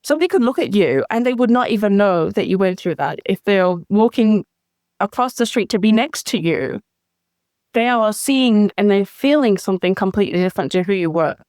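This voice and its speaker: A woman's voice: mid-range voice, animated, a little fast, a little mellow, smooth, no echo, clean studio sound. A woman hosting a podcast, responding to her guest in an emotionally engaged, effusive way. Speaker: podcast host. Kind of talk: interview conversation.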